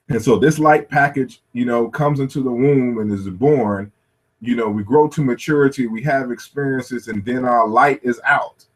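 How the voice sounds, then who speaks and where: Guy lecturing through slides, mid pitch, lots of "you know", restarts a lot. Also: rough recording. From United States. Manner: thoughtful, many "you know" fillers and restarts. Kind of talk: slide lecture to a live workshop.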